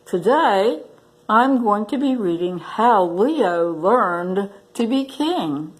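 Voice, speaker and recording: Unhurried, lively woman reading a children's story. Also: medium pitch, low-quality recording. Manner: deliberate, animated storytelling. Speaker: library staff story reader. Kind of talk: expressive children's picture book read-aloud.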